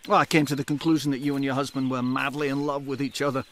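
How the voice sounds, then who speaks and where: An actor playing a wry, hard-drinking colonial gentleman in a radio drama, teasing and cynical, with a sardonic, probing way of talking. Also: medium pitch, flat delivery, fast, slight background noise, close to an everyday voice. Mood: amused, probing.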